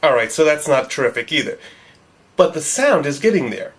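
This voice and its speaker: A man's voice: a little high, very animated, fairly quick, over music. Nasal male narrator, wry and self-deprecating, for a home-made tutorial voice-over. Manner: wry, joking. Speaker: video creator.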